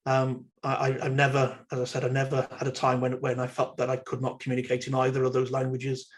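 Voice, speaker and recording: A man's voice: medium pitch, monotone. Thoughtful Welsh man lecturing online. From Wales. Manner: measured, thoughtful, many ums and uhs. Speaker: university lecturer.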